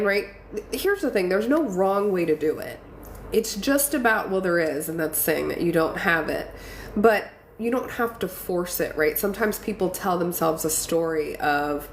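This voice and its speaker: Congested woman coaching on a live stream. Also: on the low side. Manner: encouraging, affirming, chatty with fillers. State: congested from a cold. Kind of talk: casual live-stream talk reading viewer comments. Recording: echoey room with faint ambient noise.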